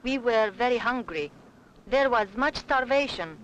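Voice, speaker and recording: A woman's voice: fairly high pitch, lively intonation, unhurried, fairly bright tone, lo-fi sound, a little hiss or hum. A woman narrating scripted first-person refugee testimony on a 1970s documentary soundtrack.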